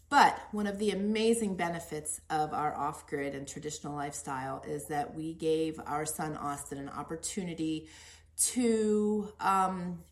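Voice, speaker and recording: A middle-aged woman hosts a casual podcast in an earnest, encouraging way. Her pitch sits somewhat low for a woman, and the room adds a clear echo around her voice. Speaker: podcast host. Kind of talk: casual conversational podcast interview.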